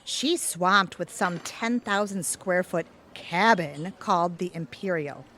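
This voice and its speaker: A woman playing a confident, friendly, quick-witted best friend in a comedic audio drama. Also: lowish voice, a bit bright. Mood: enthusiastic.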